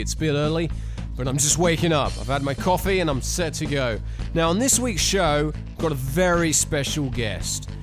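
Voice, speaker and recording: An Australian-English man hosts a relaxed radio interview in a chatty, friendly tone. His voice sits a little high for a man. A clear music bed plays under him. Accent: Australian, with some English. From England and Australia. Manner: chatty, friendly hosting with local slang. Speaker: podcast radio host.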